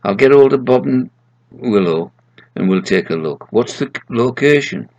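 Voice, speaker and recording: Man voicing a kindly, practical heavenly woman, gravelly. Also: medium pitch, lively intonation, normal speed, a little mellow, lo-fi sound, voice pushed up. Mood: kind, brisk. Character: kindly, practical heavenly woman who escorts lost souls.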